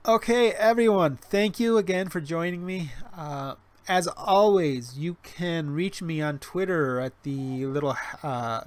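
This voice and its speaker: Man, forties, slightly high voice, rambling livestream chat, lots of restarts and ums, wry. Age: 40s. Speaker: livestream host. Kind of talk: casual livestream chat reading chat. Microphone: webcam stream mic.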